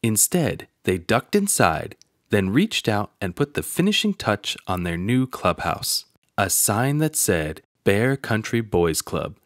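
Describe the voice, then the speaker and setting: A man narrating a children's picture book aloud in an expressive storytelling manner. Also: fairly low pitch, unhurried, dry recording, studio quality.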